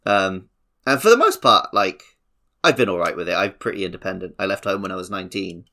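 English guy on a podcast, lowish voice, just chatting and telling stories casually. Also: expressive, fairly quick, gravelly, bright, forceful tone. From English countryside. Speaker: podcast co-host. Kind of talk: casual conversational storytelling.

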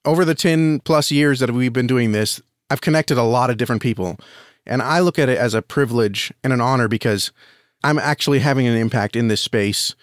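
Dry-sounding American man, slightly low-pitched. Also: brisk, no room sound. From United States. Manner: earnest, enthusiastic. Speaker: podcast guest, audio drama reviewer and podcaster. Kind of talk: conversational interview answers.

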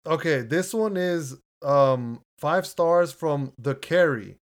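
Deep-voiced laid-back man podcast host. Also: lively intonation, slow pace. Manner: easygoing, laughs often, restarts words. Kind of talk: casual chat reading listener reviews. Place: home room, recording remotely.

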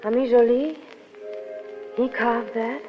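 Lovelorn leading lady, restrained and terse, old radio drama, muffled recording over loud music. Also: on the high side, steady tone, takes it slowly. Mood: sad, restrained. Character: subdued, lovelorn woman following a legionnaire. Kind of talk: 1930s radio acting.

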